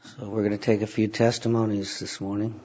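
A man with a raspy, hoarse voice leading a church service, talking casually and haltingly with many fillers. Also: on the low side, flat delivery, mellow. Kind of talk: casual talk from the pulpit. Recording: dull lo-fi church recording.

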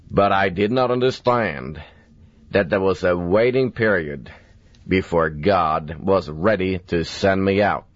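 Slow, deep-voiced older preacher. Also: male voice, dry recording. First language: Swedish. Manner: slow, earnest preaching with deliberate emphasis. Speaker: evangelist preacher. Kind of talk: recorded sermon. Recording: dull lo-fi dry recording.

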